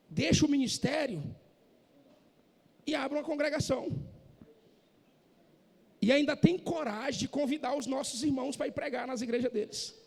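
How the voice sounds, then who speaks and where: A man preaching a sermon in a church, impassioned and rising to shouts, from northeastern Brazil. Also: extremely high pitch, gravelly. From Northeast Brazil, Maranhão. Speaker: pastor. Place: church hall. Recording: echoey church hall.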